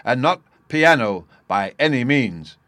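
A man's voice: mid-range voice, animated, a little slow, fairly bright tone, dry recording, pitched above the performer's own voice. A man voicing a dignified, good-natured young army officer in a storybook, courteous, amused and jovial. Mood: loud, wry.

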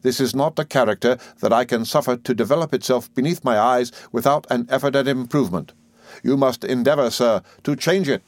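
A man's voice: mid-range voice, no echo, voice pushed up, close to an everyday voice. Cold, domineering stepfather, acted by a male reader. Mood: cold, reproving. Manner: formal, grave, measured, commanding. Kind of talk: audiobook character in a Victorian novel.

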